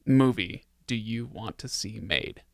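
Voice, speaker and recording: A man's voice: fairly low pitch, unhurried, vocal fry, dry recording. Guy hosting a chat show, jokey and over the top, really dry clean sound. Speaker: podcast host. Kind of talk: casual chat.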